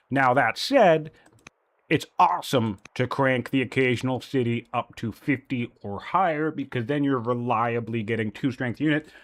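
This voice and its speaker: A man casting a strategy game tournament, working through numbers aloud with frequent "um" and "you know", still a little sniffly after a cold. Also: mid-range voice. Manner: analytical, thinking aloud with fillers. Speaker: tournament caster.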